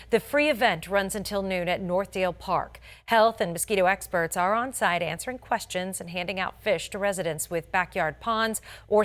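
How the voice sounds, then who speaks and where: A woman's voice: mid-range voice, fairly bright tone. Polished American woman reading local news. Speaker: television news anchor. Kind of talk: scripted local TV newscast.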